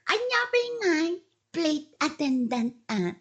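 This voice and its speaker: Actress as a high, swoopy, lovestruck Ilocano woman. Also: very animated, slow, full character voice. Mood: coy, boastful. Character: lovestruck, giddy village woman named Melang. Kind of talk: Ilocano radio comedy drama acting.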